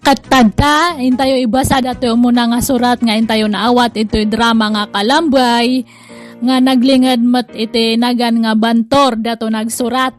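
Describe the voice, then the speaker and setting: A woman's voice: fairly high pitch, fairly even intonation, moderate pace, soft background music. A woman with an Ilocano Filipino accent, presenting a radio drama and reading from a script.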